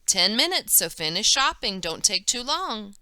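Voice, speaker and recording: A woman voicing a cranky male shopkeeper in a children's story, gruff and hollering. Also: medium pitch, big pitch swings, normal speed, bright, forceful tone, dry recording, heavily acted.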